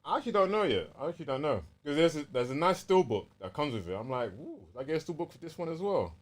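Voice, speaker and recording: A man's voice: a little high, big pitch swings, quick pace. A man with a London accent chatting casually and easily as a gaming podcast co-host, using plenty of slang.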